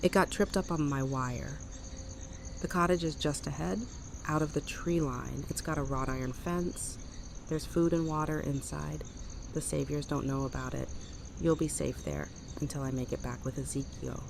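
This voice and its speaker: A woman's voice: low-pitched, fairly even intonation, normal speed, creaky, background noise. Woman acting a curt, stubborn survivor leader. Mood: curt, assured. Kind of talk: fan audio drama acting.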